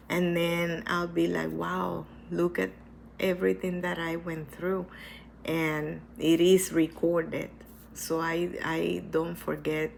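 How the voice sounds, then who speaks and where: Woman, low and gravelly, stuffy with a cold; unhurried, earnest personal vlog, hesitant delivery. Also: slight background noise. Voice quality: hesitant, with many restarts and repetitions. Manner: earnest, reflective, speaks slowly. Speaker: vlogger sharing her cancer experience. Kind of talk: casual personal talk to camera.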